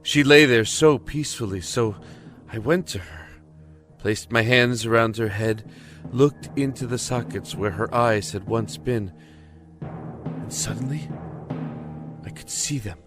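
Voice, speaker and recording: A man's voice: medium pitch, over music, natural voice. An actor playing a haunted young prisoner who tells of his descent into madness in a solemn, intense audio drama monologue. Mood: awed, haunted. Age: young adult. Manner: solemn, deliberate, intense storytelling.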